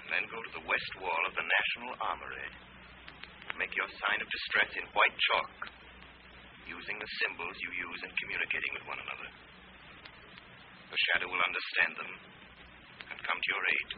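Mysterious male avenger, acted out by a man, low-pitched, ominous, formal, commanding, old radio serial, tinny and hissy. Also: fairly quick, pauses often, close to an everyday voice. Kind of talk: 1940s radio drama acting. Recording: tinny, noisy vintage radio recording.

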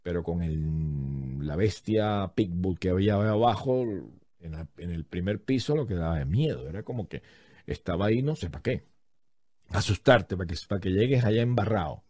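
A man's voice: low voice, animated, moderate pace, warm tone, dry recording. A man telling a story in a casual, comedic way, animatedly acting out what other people said.

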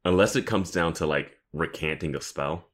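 A man co-hosting a podcast in casual conversation about a TV show, speaking in an animated, exclamatory way. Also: rumbling low, normal speed. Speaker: podcast co-host.

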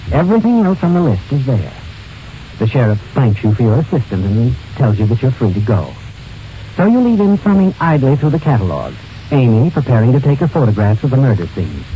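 An actor playing a knowing, ominous storyteller in 1940s radio suspense narration. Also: male voice, medium pitch, close to an everyday voice. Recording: muffled old radio recording. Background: clear background music.

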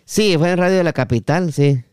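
A man hosting a casual interview, halting and often seeking agreement with tag questions. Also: fairly high pitch, darkish tone, clear, resonant tone, dry recording, clean studio sound. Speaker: podcast host.

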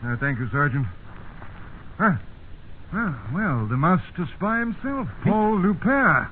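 Smug officer, clipped and bossy, highish voice, crackly old radio. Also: male voice, very animated, slow. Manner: clipped, self-assured, authoritative. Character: smug, commanding Oxford-educated British army officer. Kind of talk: 1950s radio acting. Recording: narrow, noisy 1950s radio broadcast.

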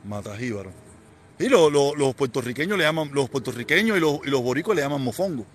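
A man's voice: medium pitch, animated, quick pace, faint music behind. A man in his forties from Havana, speaking with a Cuban accent, chatting casually and rambling on a live stream, repeating himself and answering viewer comments. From Cuba. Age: 40s.

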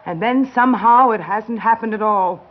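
An actress playing a weary, blunt newspaper reporter in a 1960s American radio drama, speaking intensely with dramatic pauses. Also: female voice, mid-range voice, lightly acted. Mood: bitter. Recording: dull vintage radio recording.

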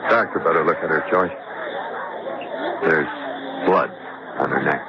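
An actor playing a plain-spoken guard in an old-time radio drama. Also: male voice, rumbling low, a little slow, crowd noise. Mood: grim. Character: plain-spoken male comrade on guard duty. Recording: very noisy, muffled old radio recording.

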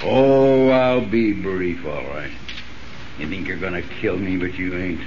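An actor playing a vengeful condemned murderer, sneering and defiant in rough speech, in 1930s radio drama style. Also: male voice, sits low, unhurried. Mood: defiant, threatening. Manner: sneering, threatening, rough uneducated talk. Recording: muffled, noisy 1930s radio recording.

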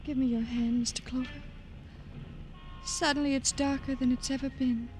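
A woman's voice: sits high, fairly even intonation, normal speed, close to an everyday voice. An actress playing an anxious, trusting young woman in a 1950s radio drama. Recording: vintage radio broadcast, noisy background.

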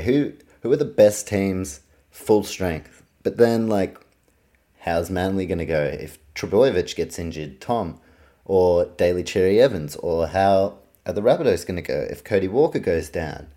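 Low, mellow New Zealand man. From Auckland, New Zealand. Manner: opinionated, informal, sweary. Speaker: sports podcast host. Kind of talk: casual solo sports preview commentary.

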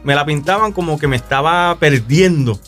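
A man with a Puerto Rican accent gives his testimony on a radio show, speaking in an easy, halting way full of fillers and restarts. Faint music plays softly beneath him. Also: on the high side. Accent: Puerto Rican Spanish. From Puerto Rico. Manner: relaxed, hesitant, many fillers and restarts. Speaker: guest musician.

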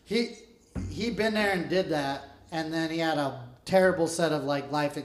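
A male podcast host with a fairly high, loud voice that carries, heard in an echoey room. He talks like a soldier among friends, swapping war stories casually. His language is blunt, slangy and heavily profane. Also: moderate pace. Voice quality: loud, carrying voice. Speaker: podcast host interviewing a fellow veteran.

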